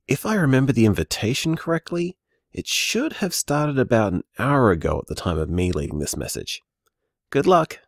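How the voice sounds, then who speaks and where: An actor playing an easygoing, affectionate man who runs a bar, talking conversationally in an audio drama, warm and teasing. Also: medium pitch, sing-song, normal speed, dry recording, studio quality. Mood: fond, teasing. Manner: warm, teasing, rambling reassurance. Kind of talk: audio drama voicemail acting, naturalistic and conversational.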